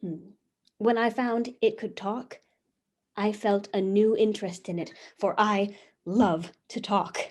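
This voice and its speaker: A woman's voice: mid-range voice, steady tone, slow, a little mellow. A woman playing Eve, a newly made, curious and talkative first woman, reading her diary in a wondering, chatty way over a video call. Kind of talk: stage reading of a comic play.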